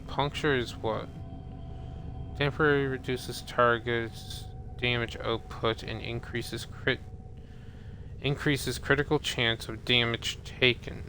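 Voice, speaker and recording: A man streaming a video game, thinking aloud casually and muttering to himself as he reads from the screen. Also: mid-range voice. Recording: steady loud background noise.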